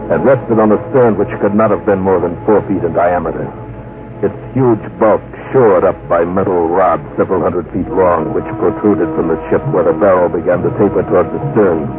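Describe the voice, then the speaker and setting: Deep-voiced actor as professor, vintage radio. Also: male voice, lightly acted. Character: proud, earnest male professor leading a scientific expedition. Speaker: narrator and lead character. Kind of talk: old-time radio drama, dramatic first-person narration. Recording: tinny vintage radio recording with music.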